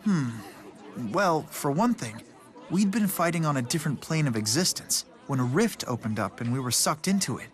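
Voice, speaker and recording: A man's voice: a little high, lively intonation, normal speed, lightly acted. An actor playing a polite, thoughtful, easily fascinated young man in a video game.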